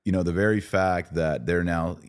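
A man with a very deep, bottom-register voice hosts a podcast discussion. He speaks in a casual, conversational way. The sound is dry and free of room echo. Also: fairly even intonation, moderate pace. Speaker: podcast host.

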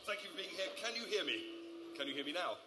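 Man, festival host, loud and chatty, echoey hall, noisy background. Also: sits high, big pitch swings, quick pace. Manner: loud, chatty, apologetic about mic trouble. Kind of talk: live festival stage interview. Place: stage at a travel writers festival. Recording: roomy hall with background noise.

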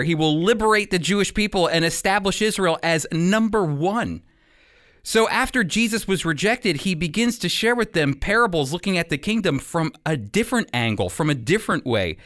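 An American man with a fairly high, very lively voice teaches as a radio Bible host. His delivery is deliberate and emphatic, like prepared storytelling. He is close on the mic in a dry studio. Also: no room sound. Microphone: close studio microphone.

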